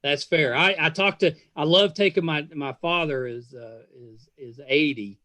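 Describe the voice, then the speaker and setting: A middle-aged man hosts a casual interview in a fairly high-pitched voice, recorded dry and close. He is effusive, doubling back on phrases and leaving some unfinished. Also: normal speed, bright, forceful tone, no echo. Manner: effusive, repeats and trails off mid-phrase. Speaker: podcast interviewer.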